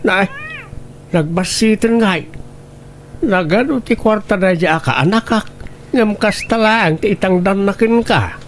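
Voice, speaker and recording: A man's voice: high voice, expressive, measured pace, long pauses, slight background noise. A man playing a protective, indignant father in a radio drama, exclaiming reproachfully in an Ilocano accent. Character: protective, indignant father fretting over his daughter. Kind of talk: Filipino radio drama acting.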